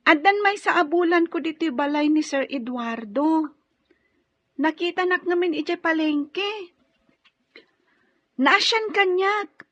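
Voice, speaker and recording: Very high, slow, gentle Filipino girl. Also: dry recording, heavily acted. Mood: wistful. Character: gentle blind orphan girl, humble and wistful. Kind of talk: Ilocano radio drama acting. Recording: dry, dull lo-fi radio drama audio.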